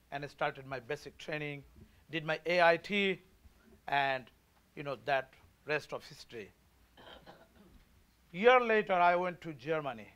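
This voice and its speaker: A man's voice: sits high, a bit bright. A man in his forties from Bangladesh with a Bangladeshi accent, giving an emphatic, rousing campaign speech at a political forum. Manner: emphatic, rousing, leads call-and-response. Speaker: party chair candidate.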